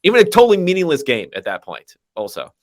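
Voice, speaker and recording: A man's voice: on the high side, huge pitch swings, fast talker. A man hosting a sports podcast, chatting casually with wry, sarcastic asides. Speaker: podcast host.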